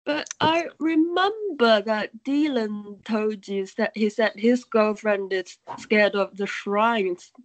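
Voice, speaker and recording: A woman's voice: medium pitch, slow pace, few pauses. A woman chatting casually in a group conversation. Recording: dull lo-fi sound.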